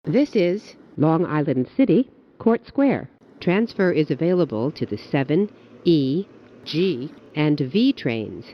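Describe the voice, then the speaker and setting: Lively-pitched woman announcer, dull recording. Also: slow. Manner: formal, clearly phrased. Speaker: transit announcer. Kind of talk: recorded subway station announcements.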